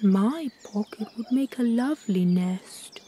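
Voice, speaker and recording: A woman voicing a kind, generous male scarecrow in a children's story, in a soft, kindly manner. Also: medium pitch, animated, takes it slowly, slight background noise.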